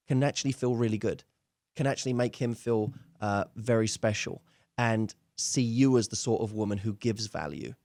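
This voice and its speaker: Man, medium pitch, dry close recording, dating coach, conversational advice monologue, emphatic, demonstrates example dialogue. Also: vocal fry, warm tone, no room sound. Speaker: dating coach and show host.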